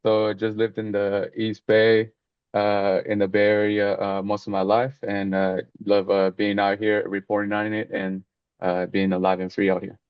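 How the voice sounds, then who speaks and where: A man's voice: lowish voice, completely flat delivery, moderate pace, clean tone, no echo. A man from Mexico who works as a journalist, introducing himself on a radio show in a hesitant way. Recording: dull, lo-fi.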